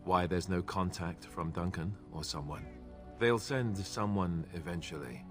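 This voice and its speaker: A man's voice: low voice, unhurried, music in the background. An actor playing a wry, sarcastic young male warrior in a fantasy video game. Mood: earnest, worried.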